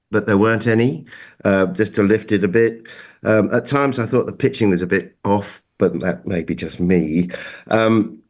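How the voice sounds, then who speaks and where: A man on a review panel giving his opinions in a casual, unscripted way. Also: on the low side. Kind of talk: casual spoken critique.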